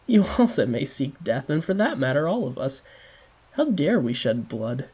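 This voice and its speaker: A man's voice: medium pitch, big pitch swings, normal speed, dark tone, husky. A man voicing a tormented devout man who broods on death and sin, speaking with fervent, preaching intensity. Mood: brooding.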